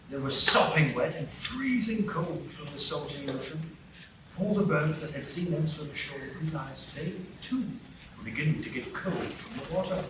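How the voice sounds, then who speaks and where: Expressive man narrating a storybook. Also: fairly high pitch, very echoey, far from the mic, background noise. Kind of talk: live radio play narration.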